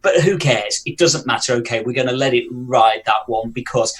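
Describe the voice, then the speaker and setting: A man's voice: mid-range voice, normal speed, fairly bright tone. A man co-hosting a podcast, talking enthusiastically and often restarting his words. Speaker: podcast co-host. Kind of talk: casual chat.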